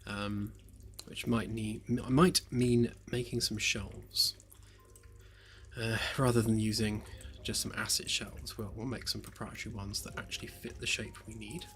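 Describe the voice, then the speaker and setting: A man developing a game on a live stream, chatting casually as he works, slightly hoarse and rambling with lots of fillers. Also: on the low side, flat delivery, measured pace, a bit bright, slight background noise. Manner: rambling, hesitant, many fillers, self-deprecating jokes. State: slightly hoarse, losing his voice. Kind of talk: relaxed live-stream chat while working. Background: faint lo-fi background music.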